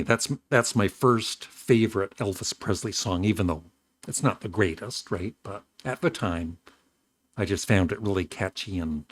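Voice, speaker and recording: An older man from Canada, hosting his own show, chatting casually and rambling a little, his voice a bit rough today. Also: lowish voice, dry recording. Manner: rambling, self-correcting, warm chuckles. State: slightly rough voice. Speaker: host. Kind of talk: casual vlog talk.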